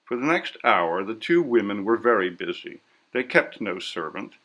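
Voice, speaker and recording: A man reading a story as scripted narration in a measured, literary delivery. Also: fairly low pitch, very animated, a bit bright, low-quality recording. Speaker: audiobook narrator.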